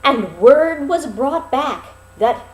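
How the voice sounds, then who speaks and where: A woman narrating a fairy tale expressively, with dramatic, deliberate phrasing and pauses. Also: high-pitched, lively intonation. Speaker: storyteller. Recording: roomy, echoing sound.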